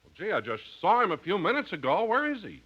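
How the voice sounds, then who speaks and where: High, lively-voiced radio announcer. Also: male voice, fast talker, slightly rough, lo-fi sound, full character voice. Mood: polite. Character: polite, formal male radio show announcer. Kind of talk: 1950s radio announcing and comedy acting.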